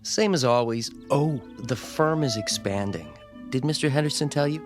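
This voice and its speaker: A man playing an attentive young suitor in a radio drama, polite, gentle and soothing. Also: medium pitch, moderate pace, over music, close to an everyday voice.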